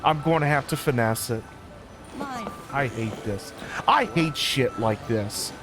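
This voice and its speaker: A woman's voice: rumbling low, slow pace. A woman streaming a game with casual, blunt, slangy commentary and exclamations. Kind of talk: casual live gameplay commentary. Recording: game music behind voice.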